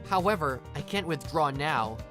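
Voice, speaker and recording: A woman playing a timid but loyal introverted high school boy in a manga dub, earnest and hesitant. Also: lively intonation, normal speed, over music. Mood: flustered, earnest. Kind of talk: manga dub dialogue and first-person narration.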